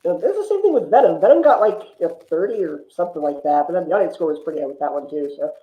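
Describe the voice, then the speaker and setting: A man's voice: a little high, expressive, fast. Man, muffled echoey recording, casual film chat, talkative guest reviewer, explanatory with asides.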